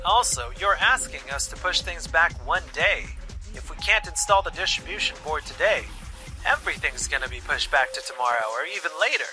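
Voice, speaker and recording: A man as a firm, frustrated contractor, lively inflection. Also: a little high, fairly quick, soft background music. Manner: earnest, firm, reproachful. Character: conscientious, frustrated skilled male installation contractor, firm and reasonable. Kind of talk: scripted manga dub voice acting.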